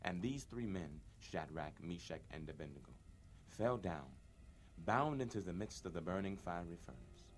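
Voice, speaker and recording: A man narrating a dramatized scripture reading in a measured, solemn manner. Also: deep voice, lively intonation, slight background noise.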